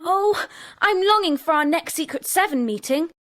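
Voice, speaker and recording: A woman's voice: very high-pitched, lively intonation, moderate pace. A woman playing an eager, gushing little schoolgirl in a children's audio drama.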